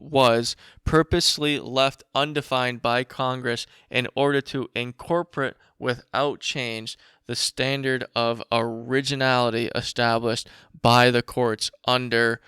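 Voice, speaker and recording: A man hosting a casual commentary show, reading documents aloud and remarking on them in a blunt, informal, profane way, with a slight rasp. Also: medium pitch, bright, forceful tone, dry recording, studio quality. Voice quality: raspy. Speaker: show host.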